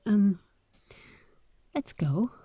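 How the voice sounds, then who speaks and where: A woman playing a bold, caring but wary adventurer heiress in an audio roleplay, speaking to the listener with breathy asides and hesitant pauses. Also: medium pitch, expressive, warm tone, dry recording, studio quality, full character voice. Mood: hushed, wary.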